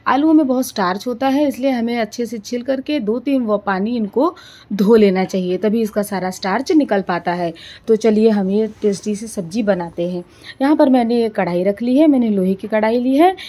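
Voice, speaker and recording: A woman's voice: medium pitch, warm tone. A woman narrating a home cooking tutorial in an explanatory, step-by-step way. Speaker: home cooking show host.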